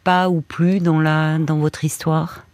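A woman hosts a late-night call-in advice show, asking soft, searching questions and echoing her caller's words back to her. Her voice sits close and dry on the studio mic, with no room around it. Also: low-pitched, little pitch movement, slow. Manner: gentle probing questions, rephrasing the caller's words. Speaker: radio host.

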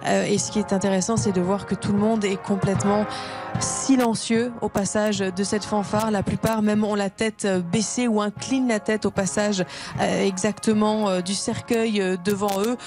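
A woman's voice: mid-range voice, fairly even intonation, moderate pace. A woman reporting live on a ceremony, speaking steadily with frequent hesitant fillers. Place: outdoors at a procession. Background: brass band music.

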